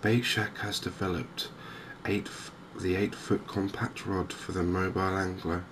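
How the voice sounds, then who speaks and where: A man softly narrating a game in ASMR style, hushed and hesitantly thinking aloud. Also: low-pitched, little pitch movement, unhurried. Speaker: gaming video host. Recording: noticeable background ambience noise. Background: game ambience.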